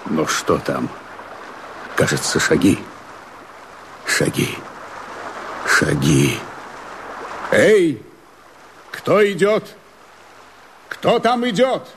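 An actor playing a brooding, wary rebel sentry in a radio verse drama, with a raspy voice and slow, declamatory delivery. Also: male voice, mid-range voice, wildly swooping pitch, fairly bright tone, a little hiss or hum, full character voice. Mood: alarmed challenge.

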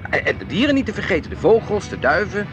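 An actor playing a jocular, complacent married shopkeeper in a radio play. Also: male voice, high voice, quick pace, over music. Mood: cheerfully persuading. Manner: animated, placating, teasing.

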